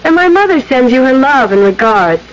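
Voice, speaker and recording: A woman's voice: sits high, big pitch swings, normal speed. A young country girl, eager and earnest, in a 1970s radio drama. Her manner is polite. The vintage broadcast is slightly noisy. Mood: bright, pleading.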